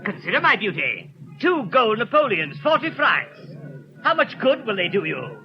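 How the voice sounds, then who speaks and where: An actor voicing a flamboyant travelling dentist and quack, hawking with grandiose, drawn-out patter in 1930s radio style. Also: male voice, sits high, sing-song. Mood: wheedling. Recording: muffled 1930s radio recording with crowd, music.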